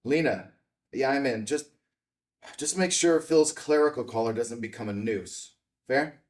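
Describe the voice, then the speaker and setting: A man's voice: mid-range voice, measured pace, far from the mic, lightly acted. A man playing a weary, grieving widower who works as an investigator, at a film table read. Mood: troubled.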